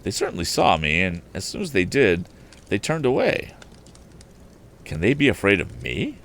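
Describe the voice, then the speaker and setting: Man voicing a plucky young inventor, lively pitch swings. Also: moderate pace, a little hiss or hum, exaggerated voice. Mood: puzzled. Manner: eager, exclamatory, thinking aloud to himself. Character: earnest, plucky young male inventor in an old adventure tale.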